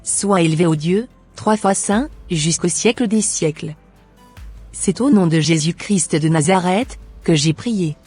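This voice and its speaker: A woman playing a devout wife, husky, lowish. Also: measured pace, darkish tone, faint music behind. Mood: grateful, reverent. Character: devout, troubled wife praying for her marriage.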